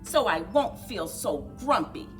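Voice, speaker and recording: A woman voicing a grumpy, restless bird from a children's storybook, in an expressive, complaining manner. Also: medium pitch, a little slow, soft background music. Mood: grumpy, bored. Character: grumpy, restless bird hunting for a new nest.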